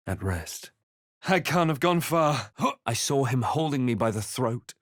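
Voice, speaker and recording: A man voicing a brooding adventurer hero in a game, narrating his perils in a measured, grave manner. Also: mid-range voice, dry recording, clean studio sound. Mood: wary, muttering. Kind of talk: dramatic game narration and short character remarks.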